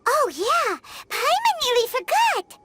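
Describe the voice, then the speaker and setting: A woman voicing a small, childlike, excitable girl fairy companion in a video game, in a chirpy, exclaiming manner. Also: very high-pitched, slow pace, a little hiss or hum, heavily acted. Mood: cheerful, curious. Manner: chirpy, talks in exclamations, third person. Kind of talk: cartoonish video game voice acting.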